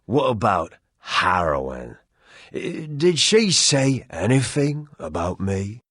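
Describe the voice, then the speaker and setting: A man's voice: on the low side, sing-song, slow pace, a bit bright, slightly rough, no echo, heavily acted. A man voicing a theatrical, cowardly comic sidekick adventurer in a video game, hammy and dramatic. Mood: eager. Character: jolly, theatrical, cowardly male adventurer playing ship's captain.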